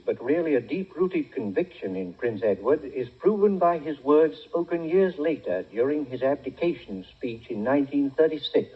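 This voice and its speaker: A man's voice: medium pitch, slightly rough, muffled recording. A man reading formal scripted documentary narration in an old newsreel manner.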